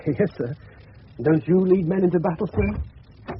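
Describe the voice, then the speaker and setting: An actor playing a blunt, matter-of-fact English police officer in a radio drama. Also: male voice, high-pitched, a little slow. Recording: muffled, faintly noisy 1970s radio recording.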